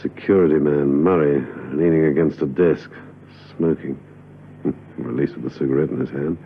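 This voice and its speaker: An actor playing a thoughtful gentleman spy who muses aloud in halting phrases in a radio drama. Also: extremely low pitch, steady tone, creaky. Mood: pensive, puzzled. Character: pensive male gentleman spy puzzling out clues. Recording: muffled old radio recording, faint noise.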